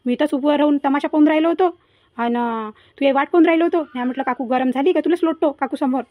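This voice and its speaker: A woman's voice: sits high, quick pace, muffled recording, a little hiss or hum, natural voice. A woman voicing a mischievous, boastful village boy in a cartoon comedy, chattering and teasing in a Varhadi Marathi accent. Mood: complaining.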